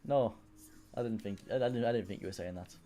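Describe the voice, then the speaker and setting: A young man from Wales with a Welsh accent softened by English, chatting casually on a live stream, rambling, swearing and answering chat. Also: fairly low pitch, fast talker, faint music behind. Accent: Welsh with English influence.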